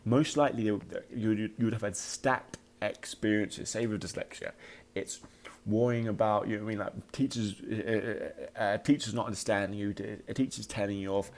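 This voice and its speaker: Low-voiced man, halting motivational talk. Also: fairly even intonation. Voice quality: slight occasional stutter. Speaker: podcast host.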